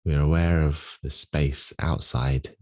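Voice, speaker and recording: Very deep-voiced man guiding meditation, unhurried. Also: steady tone. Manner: gentle, unhurried instruction with long pauses. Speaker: meditation guide. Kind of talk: guided meditation.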